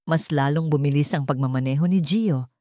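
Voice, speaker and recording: A woman's voice: low-pitched, a little fast, mellow, no room sound, clean studio sound. A woman reading scripted narration of a romantic drama as a storyteller.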